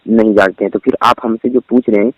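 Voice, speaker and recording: A man with a Bihari accent on a recorded phone call, explaining in a roundabout, repetitive way as an official-sounding caller making a persuasive pitch. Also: fairly even intonation, fast, no echo.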